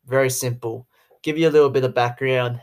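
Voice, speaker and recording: A man's voice: medium pitch, fairly even intonation, fairly quick, darkish tone. A man in his twenties with an Australian accent, talking through a casual podcast monologue in a rambling way, full of "you know" fillers. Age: 20s. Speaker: podcast host, sales rep.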